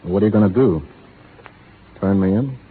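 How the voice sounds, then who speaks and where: An actor playing a reckless, joking young cowboy turned rustler in a 1930s radio drama, tinny old broadcast sound. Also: male voice, lowish voice, normal speed, slight background noise, natural voice. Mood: flippant. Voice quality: flippant, easygoing.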